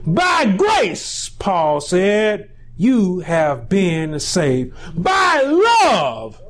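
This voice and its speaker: High, lively preaching man. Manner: preaching with rising, shouted peaks and slow drawn-out phrases. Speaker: pastor. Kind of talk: sermon. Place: small indoor room.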